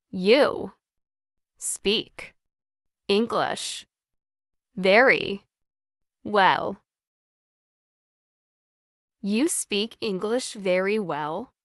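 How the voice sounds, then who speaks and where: Very slow, careful woman drilling English. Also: mid-range voice, animated, fairly bright tone, no room sound, clean studio sound. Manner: careful word-by-word dictation. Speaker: English teacher. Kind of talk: slow model sentences for repetition drills.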